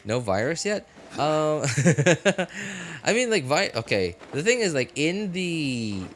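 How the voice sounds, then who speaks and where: A man in his thirties chatting casually as he streams a game, halting and repeating himself as he reminisces. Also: on the high side, very animated, fairly bright tone, a little hiss or hum. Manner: halting, reminiscing, many hesitant fillers and repeats. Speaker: livestreamer. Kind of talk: casual rambling chat while streaming a game. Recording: game music playing behind the voice. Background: video game music and character dialogue.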